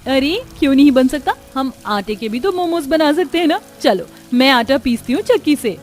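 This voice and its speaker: A woman playing women of a joint family in a Hindi cartoon story, with expressive, earnest dramatic delivery. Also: high voice, normal speed. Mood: earnest, homely. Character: women of a joint family, dutiful daughters-in-law and mothers-in-law.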